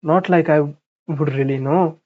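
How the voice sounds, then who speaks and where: A streamer narrates a visual novel in a medium-pitched voice. The reading is slow, broken up by offhand remarks, and the sound is a little muffled. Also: fairly even intonation. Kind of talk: reading game narration aloud with casual asides.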